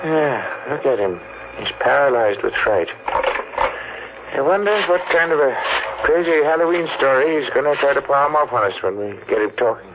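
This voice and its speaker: A man's voice: mid-range voice, expressive, normal speed, close to an everyday voice. Police captain, played by a man: official, skeptical, dry, old lo-fi radio recording with faint noise. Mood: skeptical, businesslike. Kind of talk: 1940s radio crime drama acting.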